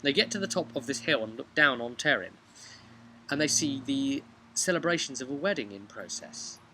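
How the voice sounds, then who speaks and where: Bright-voiced British man recapping a game. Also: medium pitch, lively intonation, normal speed, low-quality recording. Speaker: game master recapping a session. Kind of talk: casual storytelling recap of a tabletop role-playing game. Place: outdoors, on a bench by a house. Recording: outdoor webcam with background noise.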